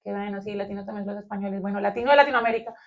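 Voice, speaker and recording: A Colombian woman with a Colombian accent, co-hosting a philosophy discussion and thinking aloud in an animated way, with many fillers. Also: mid-range voice, very fast. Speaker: podcast co-host. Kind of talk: philosophical round-table discussion.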